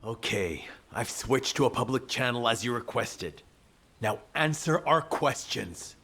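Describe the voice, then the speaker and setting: A man's voice: medium pitch, animated, a little slow, pushed and bright, slightly rough, full character voice. An actor playing a stern male military field operative in a game, speaking in a firm, businesslike way. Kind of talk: dramatic game cutscene acting.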